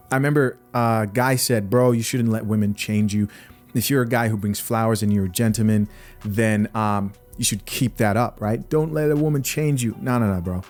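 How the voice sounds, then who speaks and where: A man's voice: mid-range voice, a little mellow. A young man from Zambia hosting a podcast, giving blunt, opinionated commentary straight to his viewers. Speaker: podcast host reacting to clips.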